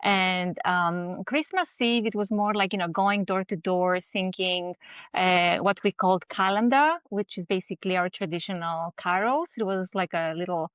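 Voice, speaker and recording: A woman's voice: fairly low pitch, normal speed, smooth, no room sound. A woman, explaining traditions to a podcast host. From Greece. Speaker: guest. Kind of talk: casual storytelling chat. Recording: dull lo-fi sound.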